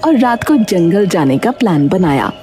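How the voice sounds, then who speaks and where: A woman's voice: lowish voice, sing-song, moderate pace. A woman reading scripted story narration for a cartoon, over background music. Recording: loud music under the voice.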